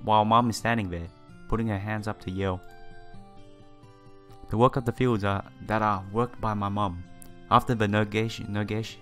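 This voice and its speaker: A man doing a let's play, reading visual novel text aloud in a halting way and sometimes stumbling over words. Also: lowish voice, fairly bright tone. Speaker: let's player. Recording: game music behind the voice. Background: visual novel background music.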